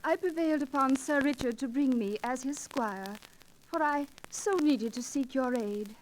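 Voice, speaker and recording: A woman plays a gentle, honorable young noblewoman in a 1940s radio drama. Her voice is fairly high, with refined, formal, archaic diction. The old recording carries faint noise and clicks. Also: moderate pace, lightly acted, rough recording. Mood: anxious pleading. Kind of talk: 1940s radio romance acting.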